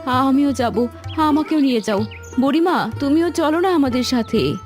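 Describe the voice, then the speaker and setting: A woman voicing a gentle, devout, supportive farmer's wife in a cartoon. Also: high voice, animated, normal speed. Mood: gentle, curious. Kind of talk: cartoon voice acting. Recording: soft sitar music behind the voice.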